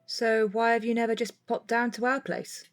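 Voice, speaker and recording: Actress as sociable, blunt woman next door who likes to tease, slightly high voice, audio drama. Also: flat delivery, normal speed, faint music behind, natural voice. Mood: frank. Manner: blunt, playful. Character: frank, teasing, sociable neighbour woman, married.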